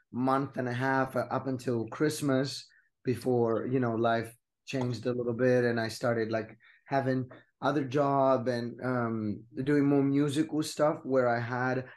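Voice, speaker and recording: A man from Greece, a musician guest telling stories in a relaxed way. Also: medium pitch, unhurried. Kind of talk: casual storytelling.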